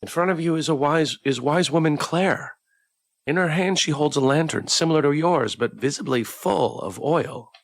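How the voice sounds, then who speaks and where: A man's voice: a little high, normal speed, dry recording. A man streaming a visual novel, reading the narration aloud and adding casual commentary of his own. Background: background music.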